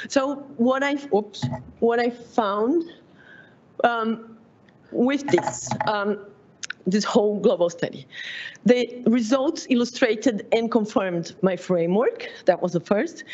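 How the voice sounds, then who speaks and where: A woman with a Brazilian Portuguese accent presents her doctoral research, her voice pitched in the middle range. She often pauses on "um", corrects her own slips and laughs at herself. The seminar recording sounds a little dull and lo-fi. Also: a little mellow. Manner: frequent "um" fillers, self-correcting, laughs at herself.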